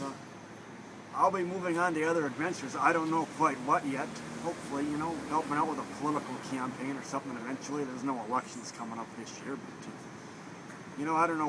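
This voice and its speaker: Young minnesota guy rambling friendly in an interview, lots of uhs, mid pitch, noisy room hum. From Minnesota, USA. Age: young adult. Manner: rambling, friendly, many fillers. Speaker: interviewee, trainee at a blindness training center. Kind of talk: casual interview talk.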